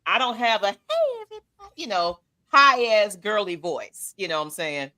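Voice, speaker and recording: Bright-voiced chatty woman. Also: sing-song, normal speed. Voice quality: low-toned voice. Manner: laughs often, loose and chatty. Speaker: podcast co-host. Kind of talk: casual fan chat.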